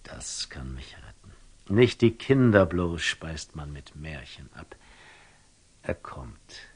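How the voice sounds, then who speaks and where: An actor playing a wise, wary older merchant in a classical German radio drama, speaking verse deliberately and theatrically, often falling to a whisper. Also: male voice, rumbling low, very animated, heavily acted. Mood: wary, pondering. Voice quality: husky, gravelly, often dropping to a whisper. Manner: deliberate, ruminative, theatrical storyteller with dramatic pauses.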